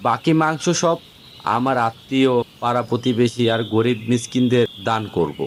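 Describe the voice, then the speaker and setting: A man's voice: mid-range voice, moderate pace, slight background noise, close to an everyday voice. A man voicing a patient, indulgent father in a cartoon. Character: patient, indulgent father guiding his small child. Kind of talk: cartoon voice acting.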